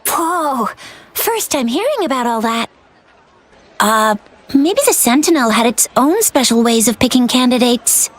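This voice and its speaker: A woman's voice: a little high, lively intonation, measured pace, a faint crowd. A woman voicing a cheerful, playful young woman in a video game, with a casual, slangy, enthusiastic way of talking. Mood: casual, musing. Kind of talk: video game character dialogue.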